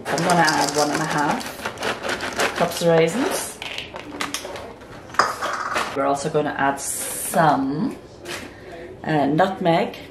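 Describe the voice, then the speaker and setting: A woman hosting a home cooking demonstration, chatty and self-mocking as she talks through each step, her voice croaky from lack of sleep. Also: low-pitched, slow, long pauses. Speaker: home cooking channel host. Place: home kitchen. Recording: echoey noisy kitchen.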